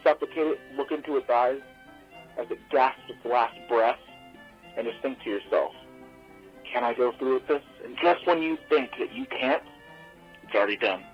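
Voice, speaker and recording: A dull, lo-fi voicemail plays with faint music behind it. A man acts as a meandering radio listener, deadpan and oddly intense as he hesitates his way along in an audio comedy. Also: mid-range voice, normal speed, long pauses, natural voice. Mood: darkly intense. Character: rambling male call-in listener, hesitant yet darkly intense. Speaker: caller.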